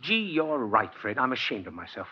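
An older male actor playing a kindly, stubborn old Santa Claus in 1940s radio drama, with a husky voice and a genial, hesitant manner. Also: mid-range voice, very animated, moderate pace, bright, forceful tone, full character voice. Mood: earnest, wry. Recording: dull vintage 1940s radio recording.

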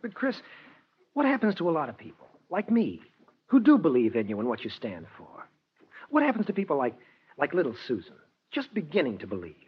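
Husky, earnest lawyer. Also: male voice, a little high, huge pitch swings, a little fast, exaggerated voice. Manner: pressing, sincere. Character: earnest, persuasive male lawyer, loyal friend. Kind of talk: 1940s radio drama acting. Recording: dull vintage 1940s radio recording.

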